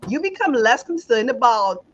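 A woman's voice: on the high side, big pitch swings, moderate pace. A middle-aged woman from Trinidad with a Trinidadian accent, a leadership coach talking reflectively on a video call, restarting as she finds her words. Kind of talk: casual podcast conversation. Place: home office with pictures on wall. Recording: slightly dull video call audio.

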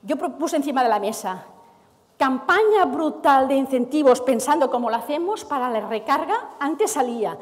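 A middle-aged woman giving an animated conference talk as a speaker from the energy administration, moving and gesturing on stage before an audience. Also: sits high, normal speed. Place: large hall with audience. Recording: reverberant hall.

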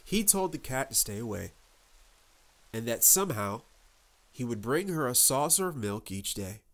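A man hosting a children's read-aloud, narrating with deliberate phrasing and dramatic pauses. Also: medium pitch. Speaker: host and storybook reader.

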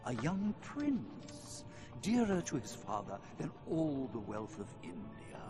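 An actor playing a scheming old vizier in a fantasy game, speaking in a deliberate, theatrical, insinuating way. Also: male voice, mid-range voice, wildly swooping pitch. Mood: sly, mysterious. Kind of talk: dramatic fantasy game acting. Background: loud dramatic music.

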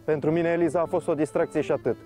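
A man playing a furious rich man shouting accusations. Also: a little high, fairly even intonation, faint music behind, lightly acted. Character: wealthy man angrily dumping his girlfriend.

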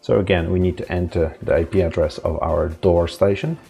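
A man presenting an informal step-by-step tech tutorial, with halting, hesitant phrasing. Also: deep voice, steady tone, creaky, mellow, soft background music. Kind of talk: informal step-by-step product demonstration.

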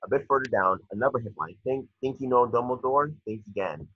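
A man with a husky voice reading screen directions as narrator at a table read over a video call. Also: medium pitch, animated, fairly quick, dry recording. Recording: muffled lo-fi video call audio.

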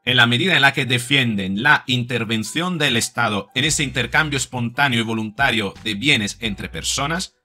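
A man in his forties talks straight to camera into a desk mic in a home studio, thinking aloud with many small restarts. His voice is bright and forward, and faint music plays softly behind him. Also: medium pitch, normal speed, few pauses. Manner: reflective, with many fillers and repeats. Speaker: psychologist and commentator. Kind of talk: video commentary to camera.

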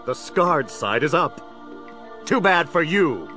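A man's voice: a little high, big pitch swings, takes it slowly, over loud music. An actor playing a menacing, theatrical crime boss with a split personality in an audio drama, gloating as he talks. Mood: smug, commanding. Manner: theatrical, gloating, speaks of himself as "we".

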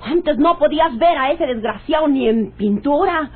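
An actress playing a desperate, sharp-tongued rural cousin with a Mexican accent, pleading in melodramatic radionovela style. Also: female voice, sits high, animated, moderate pace. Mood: bitter, angry. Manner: insistent, imploring, melodramatic. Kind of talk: radionovela acting. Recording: dull lo-fi old radio drama recording.

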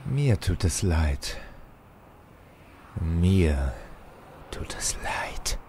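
An actor playing an obsessive, wounded young radio playwright on the edge of madness, deliberate and intense, in an audio drama. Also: male voice, low-pitched, sing-song, a little mellow, in a whisper, full character voice. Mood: menacing, unhinged.

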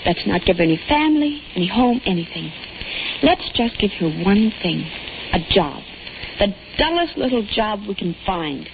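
A woman's voice: mid-range voice, very animated, normal speed, noisy background. Tinny old-radio sarcastic young working girl. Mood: bitter, fed up. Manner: wry, sarcastic, defiant. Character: fed-up, sarcastic 21-year-old soda fountain girl. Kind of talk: 1940s radio drama acting.

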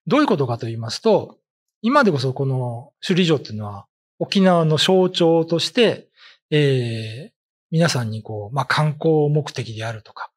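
Lively, slightly husky middle-aged man. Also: a little high, moderate pace. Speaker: ghost story writer and commentator. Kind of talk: informal explanatory commentary. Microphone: desk condenser mic with pop filter.

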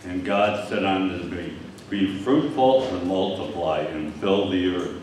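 An older man with a Michigan accent delivers a Sunday sermon. He speaks slowly and haltingly in a low voice, with frequent fillers. He is picked up by a distant microphone, so his voice rings through a very echoey church hall. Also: darkish tone, a little hiss or hum. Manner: halting, repeats words, many fillers. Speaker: pastor. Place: church hall with stage and screen. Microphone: lectern mic from a distance.

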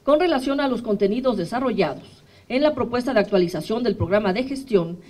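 A woman in her thirties with a Mexican accent, reading a formal statement at a podium microphone for a human rights commission, measured and steady. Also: a little high. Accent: Mexican Spanish. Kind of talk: formal statement read aloud. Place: indoor press room, at a podium.

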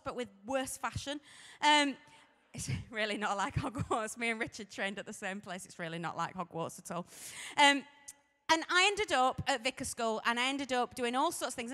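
A woman's voice: high-pitched, sharp tone. A woman vicar in her forties with a Sheffield accent, telling her own story to a young crowd in a chatty, self-mocking way on a stage, holding a microphone. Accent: Sheffield English. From Sheffield, England. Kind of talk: humorous personal testimony to a youth audience. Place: large hall stage. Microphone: handheld microphone. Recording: echoey hall.

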